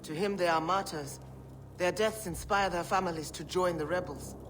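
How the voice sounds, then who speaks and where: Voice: mid-range voice, moderate pace, a bit bright, faint music behind, close to an everyday voice. An actor playing a troubled, compassionate ally of village rebels in a video game, earnest and sober. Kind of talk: video game cutscene acting.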